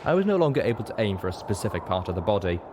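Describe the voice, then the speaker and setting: A man's voice: lowish voice, sing-song, very fast, very noisy. A man reading a story aloud as scripted, dramatic narration. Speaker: audiobook narrator.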